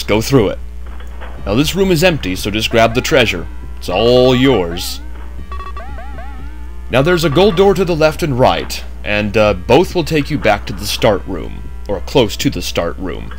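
A man's voice: fairly low pitch, big pitch swings, moderate pace, faint music behind. A man narrating a game walkthrough in a chatty way with jokey asides, just woken up. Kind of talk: casual gameplay commentary.

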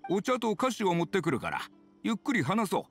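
A man voicing a kindly, grateful elderly husband in an anime-style game, with a gentle, hospitable manner. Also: sits high, big pitch swings, brisk, faint music behind. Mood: warm, grateful.

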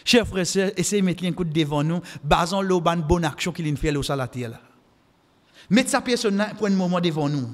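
A man's voice: sits high, a little fast. A middle-aged man with a Mauritian Creole accent preaching a sermon, exhorting with impassioned repetition and quoting Arabic. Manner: impassioned, exhortative, repeating phrases for emphasis. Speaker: preacher giving a Friday khutbah. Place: mosque, indoors at the pulpit. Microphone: microphone on a stand, close. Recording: echoey hall with long reverb.

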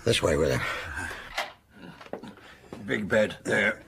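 An actor playing a wary, pragmatic country innkeeper in a radio drama, folksy and measured in speech. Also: male voice, fairly low pitch, animated, creaky, heavily acted. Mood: calm, wry. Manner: folksy, measured, rustic turns of phrase. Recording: noisy old-time radio broadcast.

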